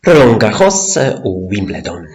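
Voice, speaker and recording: A man interviewer asking short quick-fire questions. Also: lowish voice, big pitch swings, darkish tone, echoey room.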